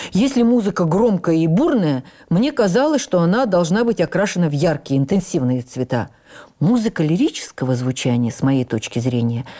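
A woman reads a literary memoir for radio as an actress would, with measured, expressive and deliberate phrasing. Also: fairly low pitch. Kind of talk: dramatic literary narration for radio.

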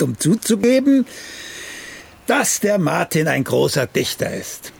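An actor playing a vain, sardonic old playwright in a radio drama, theatrical and wry, his gravelly voice turning breathy at phrase ends. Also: fairly high pitch, darkish tone, exaggerated voice. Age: older. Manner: slow, theatrical, drifting into wry chuckles.

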